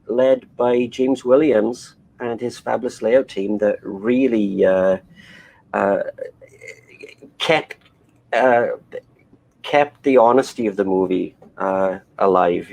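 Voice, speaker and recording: Guest: middle-aged Welsh-born man, low gravelly voice, rambling, full of fillers and restarts. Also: slow, darkish tone. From Wales. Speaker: animation director, interview guest. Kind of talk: conversational interview.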